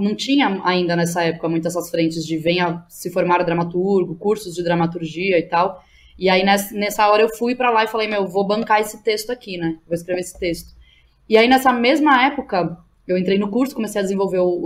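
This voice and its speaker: A woman with a São Paulo Brazilian accent talking informally and slangily as a podcast guest, thinking aloud and often checking in with the listener. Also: medium pitch. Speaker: interview guest, playwright and theatre educator. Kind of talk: casual podcast interview.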